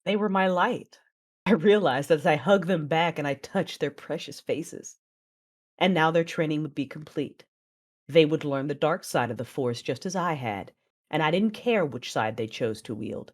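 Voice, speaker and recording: A woman acting as a controlled, proud Sith mother, narrating and speaking in a measured, intense way in an audio drama. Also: sits low. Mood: intimate, resolved. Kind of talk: first-person dramatic narration and dialogue.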